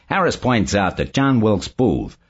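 Male narrator as an eager, confident investigator in an audiobook, very deep and animated, emphatic delivery, lo-fi sound. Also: normal speed, slightly rough, full character voice. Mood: explaining. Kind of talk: audiobook character dialogue. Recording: dull lo-fi recording.

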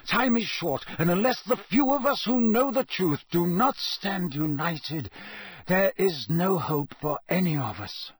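A man reading aloud and voicing a wise, elderly headmaster with a husky, measured, formal delivery. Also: high voice, very animated, no echo, clean studio sound, voice pushed up, full character voice. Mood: commanding. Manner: measured, commanding, gently formal. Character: old wise male headmaster, calm authority.

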